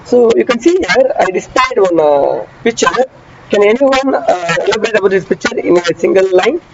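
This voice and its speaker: A man giving an online engineering lecture, repeating key phrases slowly and often asking students if they follow. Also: high voice, animated, vocal fry, a crowd in the background. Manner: asks students frequent check questions. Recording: dull muffled audio with traffic noise behind.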